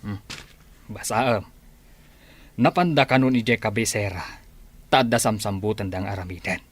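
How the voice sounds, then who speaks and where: A man's voice: on the low side, normal speed, close to an everyday voice. A man playing a stern farmer father, exasperated with his lazy children, in a radio drama. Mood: grave.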